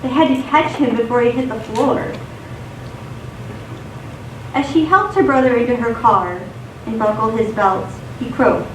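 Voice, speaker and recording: Woman narrating a stage radio play, high voice, bit far from mic. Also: a little slow, long pauses, a bit bright. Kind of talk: scripted radio theatre narration. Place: theatre stage. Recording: echoey stage, distant mic, background noise.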